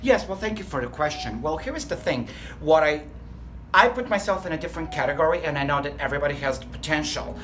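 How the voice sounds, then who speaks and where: A man's voice: on the high side, animated, fast, a bit bright, a little hiss or hum. A man with a Bulgarian accent, a restaurant lead trainer answering interview questions earnestly and enthusiastically, sometimes correcting himself mid-sentence. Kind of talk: workplace interview.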